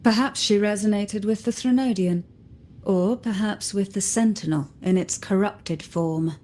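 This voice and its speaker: Poised, mysterious noblewoman for a video game, female actor. Soft, mellow tone, unhurried, formal, measured. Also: mid-range voice, natural voice. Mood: grave, mysterious. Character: enigmatic composed noblewoman, secretive and measured.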